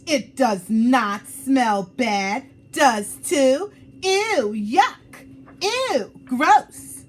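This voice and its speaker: Woman voicing a blunt, high-pitched little schoolgirl. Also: expressive, drawn-out words, heavily acted. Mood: indignant, disgusted. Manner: exclamatory, stretched words. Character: little schoolgirl Lily, blunt, squeamish, indignant.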